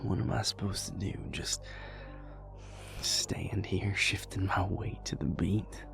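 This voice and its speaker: A man playing a gruff but tender vampire boyfriend in an intimate audio roleplay, murmuring breathily and close to the listener in a gravelly drawl. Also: low-pitched, steady tone, slow, exaggerated voice. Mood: reluctant, grumbling. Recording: music playing behind the voice. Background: loud club music.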